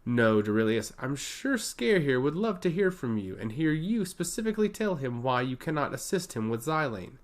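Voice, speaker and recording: A man's voice: medium pitch, very animated, moderate pace, hardly any pauses. A man voicing a haughty, impatient archmage in a fantasy story, curt and dismissive.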